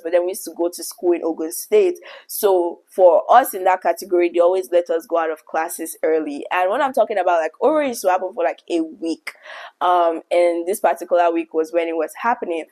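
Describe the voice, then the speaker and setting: A woman's voice: fairly low pitch, normal speed. Chatty Nigerian woman telling a story. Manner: chatty and animated, laughs often, repeats herself. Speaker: YouTuber telling a childhood story. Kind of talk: casual story time vlog.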